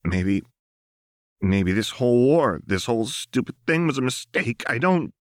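A man voicing a fragile, volatile young woman in an audiobook, halting and shaky, repeating herself in distress. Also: mid-range voice, animated, dry recording, clean studio sound, pitched above the performer's own voice, close to an everyday voice. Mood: shaky, despairing.

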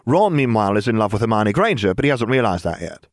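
A man voicing a brisk teenage girl in a storybook reading, rattling off gossip in a matter-of-fact way. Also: on the low side, very animated, no room sound, studio quality.

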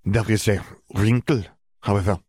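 A man's voice: lowish voice, steady tone, normal speed, darkish tone, breathy edge, dry recording, clean studio sound. A man voicing a proud, insistent witch official, a formal and emphatic woman, in a story reading.

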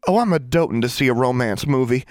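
A man voicing a prim, excitable young girl with an Ozark drawl. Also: a little high, a little fast, dry recording, studio quality, voice pushed up, lightly acted. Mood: scandalized. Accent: Ozark drawl put on. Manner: excitable, old-fashioned, drawling.

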